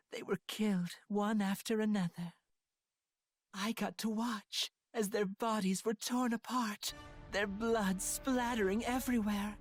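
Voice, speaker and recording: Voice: mid-range voice, lightly acted. An actor voicing a dreamy, sadistic demon in an anime game, speaking with languid, polite delight. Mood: dreamy, gloating. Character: dreamy, sadistic, softly gloating demon who savors despair. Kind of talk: theatrical anime game voice acting. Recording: echoey hall reverb, music behind.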